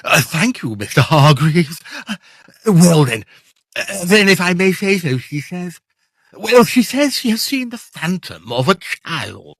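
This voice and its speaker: A hesitant, obsequious butler, acted by a man in a period audio drama. His voice swoops up and down in pitch, and the sound is close and dry. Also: sing-song, a little slow, fairly bright tone, slightly rough, no room sound, full character voice. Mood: evasive, hesitant. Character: deferential, evasive butler, nervously discreet and gloomy.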